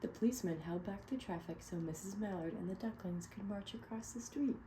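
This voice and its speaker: A woman reading a picture book aloud to children, pausing to ask them questions. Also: mid-range voice, slight background noise. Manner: gentle, asks children questions. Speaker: read-aloud storyteller.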